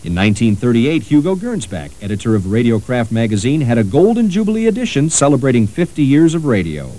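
A man narrates from a documentary script. He reads briskly, with a middle-range pitch and plenty of rise and fall. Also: very animated, dark tone, poor audio.

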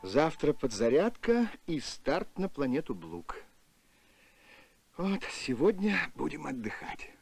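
A man's voice: a little high, big pitch swings, slow pace, creaky, slightly rough, no room sound, faint music behind, exaggerated voice. A man voicing a mild, polite middle-aged professor and father in a Soviet cartoon. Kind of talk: Soviet animated film voice acting.

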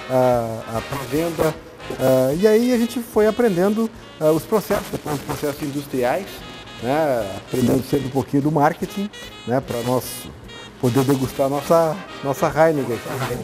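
A man answering interview questions in a measured, hesitant way. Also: a little high, expressive, poor audio. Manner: measured, hesitant with many fillers. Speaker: guest. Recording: faint music under the voice.

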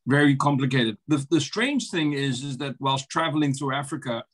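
A man in his fifties from the Netherlands with a Dutch accent, a guest on a video call, talking in a measured way with many pauses and fillers. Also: mid-range voice. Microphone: webcam on a video call.

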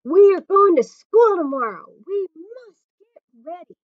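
A woman's voice: a little high, big pitch swings, slow pace, no echo, heavily acted. A woman voicing a fussy, strict middle-aged male farmer who lays down the rules emphatically. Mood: eager. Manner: emphatic, scolding lists of rules. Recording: dull lo-fi dry recording.

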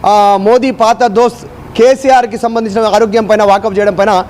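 High-pitched, fast-talking male news correspondent. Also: steady tone, a little mellow. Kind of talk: live explanatory news report.

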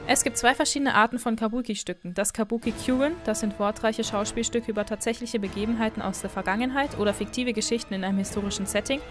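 Woman, host, scripted explainer narration, friendly, higher voice, faint music behind. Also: fairly even intonation, fast talker, hardly any pauses. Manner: friendly, apologetic about pronunciation. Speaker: wiki video host. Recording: voice-over with faint background music.

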